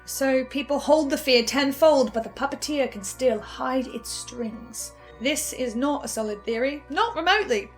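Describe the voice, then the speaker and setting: A high-pitched voice for a woman acting a brooding, defiant female researcher. She speaks in a dramatic, halting monologue, tripping over words and pausing with intensity. Faint music plays behind her. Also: fairly bright tone. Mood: hesitant musing. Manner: halting, stammering, intense, with pauses. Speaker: host reviewing a fiction podcast in character.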